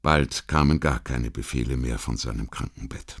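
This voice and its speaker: A man reading a scripted history documentary as an audiobook narrator, in a measured, formal, essayistic way. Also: rumbling low, vocal fry.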